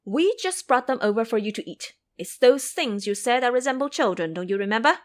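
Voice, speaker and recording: A woman voicing an excitable young Taoist boy attendant who talks in an alarmed, rapid way. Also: lightly acted. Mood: agitated. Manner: alarmed, quick, high.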